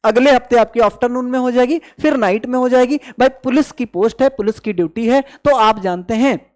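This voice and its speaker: A woman's voice: fairly high pitch, a little fast, darkish tone. A woman speaks as a coaching instructor. She explains step by step in an online-lesson style, mixing in English terms. She often repeats her phrases and checks that her students are following.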